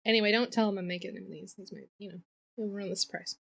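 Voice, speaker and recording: A woman's voice: medium pitch, fairly even intonation, fast talker, vocal fry, a bit bright, husky. A woman co-hosting a podcast in casual chat, with a playful, irreverent and sweary way of talking. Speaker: podcast co-host.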